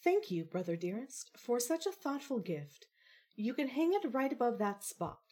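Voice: medium pitch, very animated, moderate pace, mellow, breathy edge, pitched above the performer's own voice. A narrator voicing a poised, snarky young woman with a formal, dryly polite manner in an audio story. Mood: dryly sarcastic.

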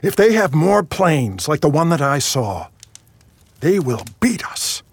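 An actor playing an earnest, defiant seer pleading about his visions in a fantasy audio drama, with a husky, raspy voice. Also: fairly high pitch, very animated, a little slow, full character voice.

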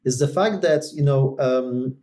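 A man with a Polish accent talks as a guest in a conversational interview. His voice is mellow and of medium pitch, and he speaks in a measured, halting way, often repeating words as he goes. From Poland. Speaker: podcast guest.

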